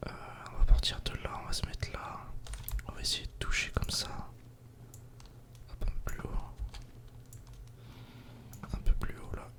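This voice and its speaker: A man softly whispering casual, informal ASMR commentary while playing a video game. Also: unhurried, long pauses. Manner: soft-spoken, casual, informal.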